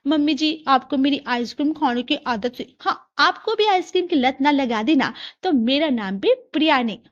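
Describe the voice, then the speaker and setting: A woman voicing a spirited, scheming young daughter-in-law in animated story dialogue, chatty and dramatic, talking to herself. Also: high voice, normal speed, lo-fi sound, voice pushed up. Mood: determined.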